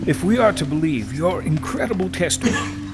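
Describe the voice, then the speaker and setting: Skeptical presiding judge male actor, pompous and formal, higher-pitched with lively swoops, audio drama. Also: brisk, over loud music. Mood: skeptical, stern. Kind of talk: courtroom audio drama acting.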